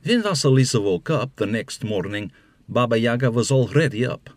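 A man acting as the measured storyteller of a fairy-tale audio drama, reading scripted narration. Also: medium pitch, animated, a little hiss or hum, close to an everyday voice. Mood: narrating.

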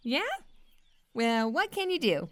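Farm hen: sassy, teasing, very slow delivery, raised pitch, audio drama. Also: female voice, sing-song. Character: sassy, flirty, self-assured female farm hen.

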